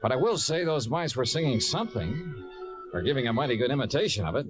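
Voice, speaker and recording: A man's voice: medium pitch, very animated, music in the background, lightly acted. An actor playing a dry, sceptical male investigator who narrates his case in old radio drama style. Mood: wry narration. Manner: dry, measured, politely doubtful. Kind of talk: 1950s radio detective drama acting.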